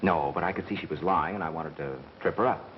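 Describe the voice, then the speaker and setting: An American actor playing a brisk, bossy amateur sleuth with a wry edge, in 1930s film style. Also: male voice, a bass voice. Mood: confident. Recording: dull, noisy vintage film soundtrack.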